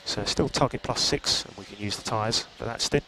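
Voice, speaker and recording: A man working as a race engineer, calmly reading out gaps and lap times over team radio. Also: medium pitch, a little fast. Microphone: headset radio. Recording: noisy team radio line.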